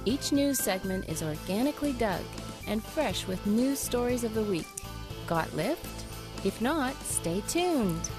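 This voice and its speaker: A woman's voice: on the high side, very animated, unhurried. A woman reading a short scripted segment promo in an upbeat, punchy way. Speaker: promo announcer. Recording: promo over music, set back from mic. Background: music bed.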